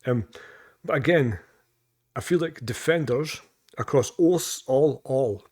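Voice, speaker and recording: A man's voice: medium pitch, unhurried, a little mellow, husky. Rambling Scottish man, casual reaction talk. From Scotland. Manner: rambling and repetitive, with frequent fillers and profanity. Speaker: reaction vlogger.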